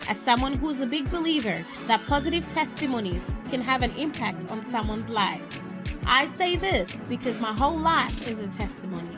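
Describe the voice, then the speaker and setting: A woman reads an upbeat scripted podcast intro in a high, very lively voice that rises and falls a lot. Loud music plays underneath her. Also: moderate pace. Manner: upbeat, promotional delivery. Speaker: podcast host.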